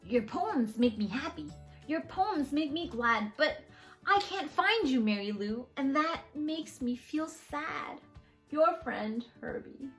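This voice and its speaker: A woman voicing a bashful, earnest male slug in love from a storybook, speaking in slow, heartfelt verse. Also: high voice, animated, soft background music. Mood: sad, fond.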